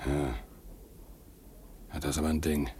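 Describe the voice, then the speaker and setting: Radio play role: a fatalistic engine-room sailor, very low and dry, resigned cynicism, slight noise behind. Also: male voice, little pitch movement, moderate pace, darkish tone. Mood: astonished. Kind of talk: German radio play acting.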